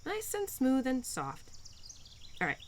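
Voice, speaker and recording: A woman's voice: high voice, huge pitch swings, slow, a little hiss or hum, exaggerated voice. Girl doing a playful spring spirit lady for audio rp, bouncy up and down voice, encouraging, bit flustered, crickets n outdoor sounds behind. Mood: playful, cheerful. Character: cheerful, playful, pun-loving spirit of spring, Mother Nature's daughter. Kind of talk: intimate audio roleplay to a listener.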